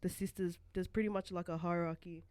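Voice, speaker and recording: A woman in her twenties, born in New Zealand and raised in Australia, telling her life story as a podcast guest in a relaxed, halting way with many fillers. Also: fairly low pitch, dry recording. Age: 20s. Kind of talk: casual chat.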